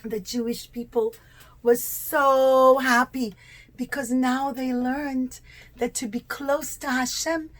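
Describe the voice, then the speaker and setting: A woman with a high voice speaks as a storyteller and religious teacher for young children. She keeps her wording simple and her delivery expressive, leaning on key words and stretching them out.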